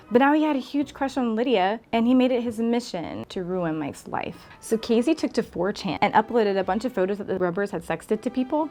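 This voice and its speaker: A woman's voice: mid-range voice, a little mellow. Woman narrating a comic story over music. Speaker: guest storyteller. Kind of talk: scripted comedic storytelling narration.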